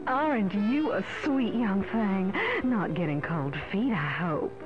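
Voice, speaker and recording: A woman's voice: mid-range voice, expressive, slow pace, rough recording. A woman playing a flirtatious, coy seductress in a video game cutscene, teasing and drawing out her words. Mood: coy, seductive. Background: music.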